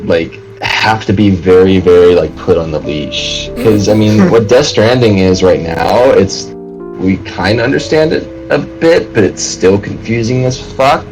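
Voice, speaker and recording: A man co-hosting a casual panel podcast, explaining things in a halting, rambling way and swearing freely. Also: lowish voice, music in the background, rough recording. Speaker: podcast co-host.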